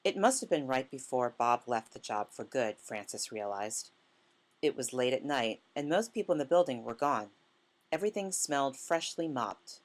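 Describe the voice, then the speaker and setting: A woman reading literary fiction aloud as scripted narration, in a measured manner. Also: very deep voice, expressive. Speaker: author reading a short story.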